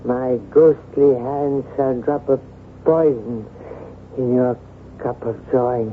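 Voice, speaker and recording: Over a thin, phone-like radio signal with faint noise comes a man acting as a dying aristocrat set on revenge. He declaims solemnly and theatrically, with iron-willed menace in a medium-pitched voice. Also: steady tone, slow pace, lightly acted. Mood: vengeful, ominous. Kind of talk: 1970s radio drama acting.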